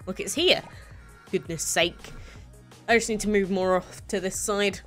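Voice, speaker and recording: A woman's voice: medium pitch, fairly even intonation, measured pace, a bit bright, faint music behind. A young woman in her late teens, live streaming and chatting casually with viewers as she reads their messages aloud. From England. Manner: chatty, rambling, frequent "uh" and "basically".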